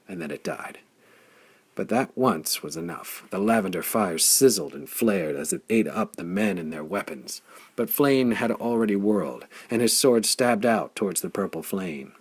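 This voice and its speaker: A man narrates a pulp adventure tale in a deep voice full of gravelly creak. His tone is husky, and he tells the story with dramatic intensity. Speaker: audiobook narrator.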